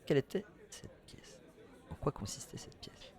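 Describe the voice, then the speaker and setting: A man's voice: moderate pace, darkish tone, some chatter behind. A man co-hosting a casual radio-style trivia chat, playful and laughing often. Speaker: co-host. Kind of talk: casual banter and trivia quiz.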